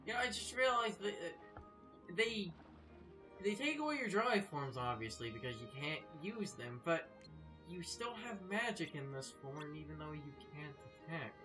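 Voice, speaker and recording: A woman giving casual, joking gaming commentary in a halting, tongue-in-cheek, sweary way. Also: deep voice, animated, music in the background. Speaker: let's play commentator.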